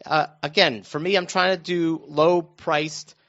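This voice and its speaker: A middle-aged American man telling stories casually on a talk show, halting and restarting his phrases as he goes. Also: on the high side, steady tone, a bit bright. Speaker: radio show panelist recounting his own news. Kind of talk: casual conversational storytelling. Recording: dull, lo-fi muffled sound.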